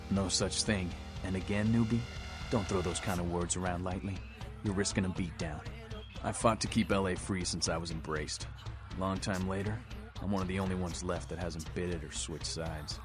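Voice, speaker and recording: An American actor playing a gruff, defiant vampire rebel leader in a video game, blunt and streetwise with folksy slang. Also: male voice, sits low, steady tone, moderate pace, natural voice. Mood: scornful, hard. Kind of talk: video game dialogue acting. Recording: background music under the voice.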